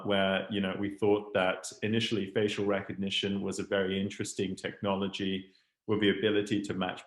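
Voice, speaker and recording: A young man in his twenties with a mixed international English accent, telling his story as a webinar guest and often pausing on ums and restarts. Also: lowish voice. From Hong Kong. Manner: hesitant, many ums and restarts. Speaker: guest speaker, startup founder. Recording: roomy echo.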